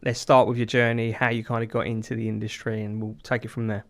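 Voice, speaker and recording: A man's voice: lowish voice, flat delivery, quick pace, a bit bright. A man hosting a podcast interview, upbeat and encouraging, with frequent short agreements. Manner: upbeat, encouraging, many short "yep" backchannels. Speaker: podcast host.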